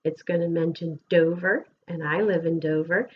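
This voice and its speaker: A woman with an American accent reads a picture book aloud to children with expressive, deliberate storybook phrasing, like a schoolteacher. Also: on the low side, highs cut off, a little hiss or hum. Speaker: elementary school teacher reading aloud.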